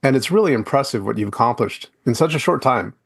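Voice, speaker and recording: A man's voice: medium pitch, animated, quick pace, creaky, husky. A man hosting a podcast interview, talking loosely with lots of fillers and restarts. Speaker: podcast host. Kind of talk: casual interview chat.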